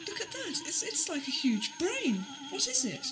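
Actress as sceptical space researcher, high lively voice, full of questions, noisy old tape. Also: female voice, normal speed, over music. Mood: curious, alarmed. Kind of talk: audio drama acting.

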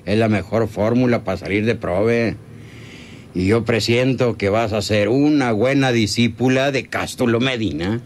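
Actor as a coaxing rustic bandit, noisy recording. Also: male voice, fairly low pitch, measured pace, close to an everyday voice. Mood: cold, scheming. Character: scheming rural male bandit henchman, persuasive and greedy. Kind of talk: radio serial acting. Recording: noisy old radio serial recording.

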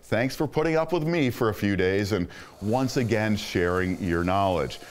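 Lively male presenter narrating. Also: on the low side, normal speed, a little hiss or hum. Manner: enthusiastic, upbeat presenter delivery. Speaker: host. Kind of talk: scripted voice-over narration.

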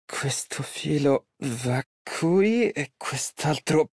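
A man's voice: on the high side, lively intonation, extremely slow, a little mellow, husky, no room sound, studio quality, heavily acted. An actor playing a polite, earnest and easily flustered young male tailor in an Italian game dub. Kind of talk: video game character voice lines, Italian dub.

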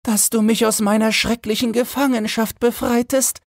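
A man's voice: very high voice, little pitch movement, normal speed, gravelly, no echo, clean studio sound, pitched above the performer's own voice. A man voicing a grateful, earnest young slave woman in a fairy tale. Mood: overjoyed, grateful.

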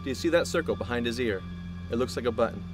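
An actor playing a proud, obsessive male scientist in an audio drama, speaking in a deliberate, grandiose way. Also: medium pitch, lively intonation, natural voice. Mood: proudly demonstrating. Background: music.

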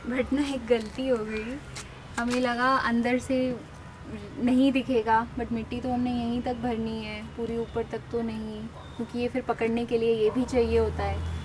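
A woman's voice: fairly high pitch, flat delivery, a little slow. A young woman hosting a casual vlog, talking to the camera held at arm's length on an open rooftop terrace, explaining things to her viewers. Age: young adult. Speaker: vlog host. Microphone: handheld camera at arm's length. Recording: noisy outdoor ambience.

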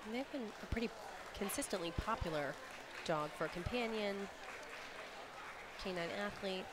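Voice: mid-range voice, animated, moderate pace, a loud crowd, very noisy. A co-commentator at a live dog show, giving breed history in an informative manner and laughing easily.

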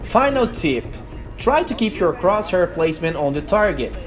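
A man's voice: sits high, very animated, normal speed, over music. A young man from Brazil with a Brazilian Portuguese accent, speaking English as a second language as he narrates a gaming tutorial in an earnest, emphatic way. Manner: earnest, emphatic, non-native phrasing. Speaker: gaming youtuber. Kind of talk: gameplay tutorial voice-over.

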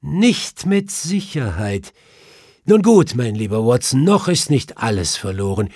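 A man's voice: medium pitch, very animated, measured pace, darkish tone, slightly rough, no echo, studio quality, heavily acted. A man voicing a commanding, self-assured detective with a clipped, superior manner in an audiobook. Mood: brisk, commanding. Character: commanding, brisk male detective, reproving and sure of himself. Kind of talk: acted audiobook dialogue.

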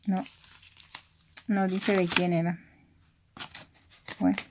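A young Mexican woman talks softly and slowly, casually narrating a craft unboxing. Her voice stays low in volume, and there is faint noise with packaging crinkling around her. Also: flat delivery. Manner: soft-spoken, keeping voice down because others are sleeping. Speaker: craft vlogger. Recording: noisy room with handling rustle and crinkling. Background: clicks, crumpling and crinkling of packaging.

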